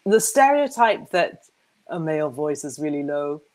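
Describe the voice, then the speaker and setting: Low-voiced woman interviewing on a video call. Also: very animated, normal speed, a little mellow. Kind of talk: casual video-call conversation. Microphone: wired earbuds.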